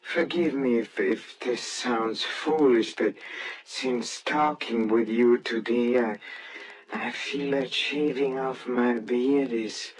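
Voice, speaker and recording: Man acting a slow, hesitant, bashful old professor. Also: mid-range voice, steady tone, slight background noise, natural voice, poor audio. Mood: flustered. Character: timid, bashful, kindly middle-aged-to-elderly botany professor. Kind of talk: old-time radio drama acting.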